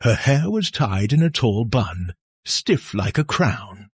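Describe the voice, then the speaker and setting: Male horror-folktale narrator: very raspy, unhurried, suspenseful and theatrical, recorded close and dry. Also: medium pitch, very animated, no echo. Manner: suspenseful, theatrical delivery with dramatic pauses. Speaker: storyteller.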